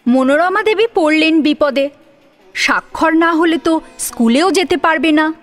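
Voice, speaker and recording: A woman's voice: high-pitched, normal speed, faint music behind. A woman narrating a serialized story dramatically, as its storyteller.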